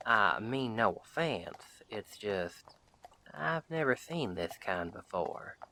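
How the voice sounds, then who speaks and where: Man playing a slow-talking, friendly Western sheriff. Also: on the low side, very animated, pushed and bright, a little hiss or hum, heavily acted. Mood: kindly, curious. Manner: folksy, unhurried, wry, chatty. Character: friendly wandering Western sheriff, easygoing drifter, dryly humorous. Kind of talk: audio roleplay, speaking directly to the listener.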